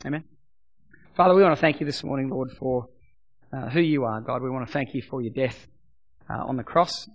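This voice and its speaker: A middle-aged man preaching as a pastor, his voice a bit croaky. He talks emphatically, repeating his points and dropping to a soft voice for prayer. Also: mid-range voice, brisk, long pauses, dull audio. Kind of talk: sermon.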